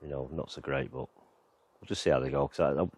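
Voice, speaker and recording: A man's voice: very deep voice, fairly even intonation, a little fast, a little mellow. A man giving a casual, rambling tour of his allotment and back garden outdoors, with lots of fillers, filmed on a handheld camera. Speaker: gardening vlogger.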